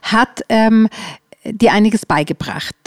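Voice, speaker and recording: High-pitched woman interviewer, dry sound. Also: takes it slowly, no room sound. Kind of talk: casual conversational interview.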